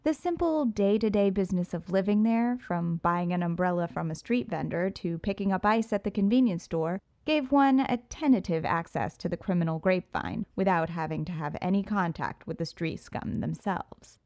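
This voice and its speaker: Woman narrator, first-person audiobook, poised and sultry, lowish voice, close and dry. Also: normal speed, hardly any pauses, a little mellow, dry recording.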